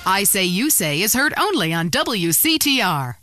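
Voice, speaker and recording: Bright, sardonic station announcer. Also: female voice, medium pitch, sing-song, moderate pace, no echo, studio quality, faint music behind. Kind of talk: scripted station promo.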